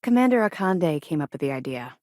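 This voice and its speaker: Low-voiced, firm woman space officer. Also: sing-song, brisk, a little mellow, dry recording, studio quality. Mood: firm, businesslike. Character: tough, driven female military officer in a space game. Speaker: first mate and mission handler. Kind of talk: video game dialogue acting.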